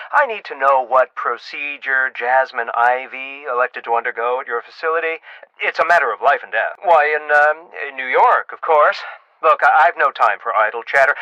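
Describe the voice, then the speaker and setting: A man's voice: mid-range voice, bright, forceful tone, dry recording, dull audio. A man playing a flustered bluffer posing as an urgent hospital doctor in a video game, stammering and pushy. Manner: halting, stammering, then pushy. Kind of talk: video game phone prank dialogue.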